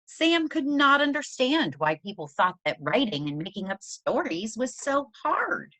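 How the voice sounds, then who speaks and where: A woman reading a children's story aloud as a teacher would, in an expressive, deliberate manner for young listeners. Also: on the high side, no echo.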